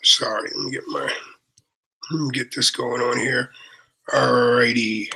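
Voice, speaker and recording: Raspy, excitable Brooklyn man. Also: medium pitch, measured pace, poor audio. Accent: New York. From Brooklyn, New York. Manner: profane, excitable, repeats "you know what I mean". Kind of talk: casual video-call chat.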